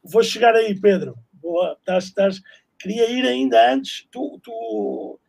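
Host guy, high voice, gravelly and husky, stumbles over his words. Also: slow, darkish tone. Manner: stammering repeated words. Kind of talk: casual interview.

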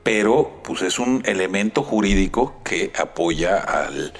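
A Mexican man co-hosts with a husky, somewhat low voice, joking and teasing between points. He talks casually and drawls through his words. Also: lively intonation, takes it slowly. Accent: Mexican Spanish. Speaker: podcast co-host, architect. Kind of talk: casual chat.

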